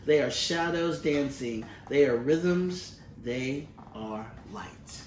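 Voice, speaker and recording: Slow-reading man in a roomy space. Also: medium pitch, animated. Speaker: picture book reader. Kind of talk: expressive read-aloud of a children's storybook. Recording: roomy, distant mic with faint noise.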